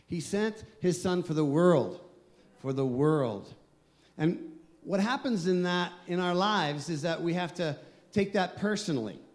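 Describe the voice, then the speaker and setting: A man pastor preaching a sermon, emphatic and slowing down on key phrases. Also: high voice, a little mellow.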